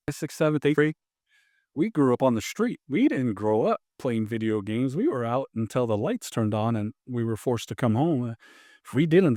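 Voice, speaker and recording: A man in his fifties, from Tonga and raised in Los Angeles, tells long stories in a casual interview, with plenty of fillers and false starts. His pitch sits in an ordinary middle range. The sound is dry, with no room echo. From born in Tonga, raised in Los Angeles. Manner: rambling, animated, frequent restarts and fillers. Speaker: martial arts instructor, podcast guest.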